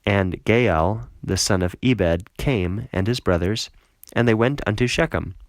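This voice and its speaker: A man narrating a scripted Bible reading in a measured, solemn way. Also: fairly low pitch, no echo, clean studio sound.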